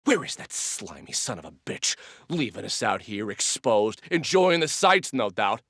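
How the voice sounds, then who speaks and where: A man's voice: lowish voice, very animated, a little slow, a bit bright, no echo, clean studio sound, exaggerated voice. A man playing a joking, foul-mouthed combat engineer in a war game, sarcastic and salty. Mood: annoyed. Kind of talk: video game unit voice lines.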